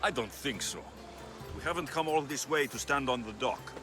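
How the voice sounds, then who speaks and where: An actor playing a gruff, impatient young Spartan mercenary in a game, speaking in a curt, stern and sardonic way. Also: male voice, mid-range voice, normal speed, over music, close to an everyday voice. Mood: dry, curious.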